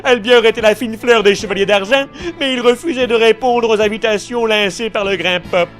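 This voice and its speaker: Man playing a high-voiced, vain effeminate villain. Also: fairly quick, a bit bright, soft background music. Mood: self-satisfied. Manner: affected, theatrical. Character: vain, effeminate, mocking male villain knight with roses. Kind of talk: parody anime dub.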